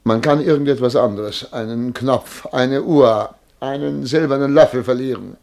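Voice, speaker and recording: An actor playing a vain, middle-aged major in a radio drama, formal, plaintive and easily indignant. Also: male voice, medium pitch, normal speed, vocal fry, a little mellow, lightly acted. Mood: despairing, lamenting. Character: vain, distraught major who has lost his nose. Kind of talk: theatrical German radio drama acting.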